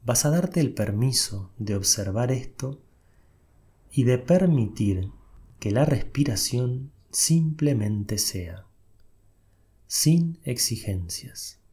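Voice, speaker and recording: A man leading a guided meditation, giving soft, unhurried instructions with long pauses. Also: mid-range voice, lively intonation, breathy edge.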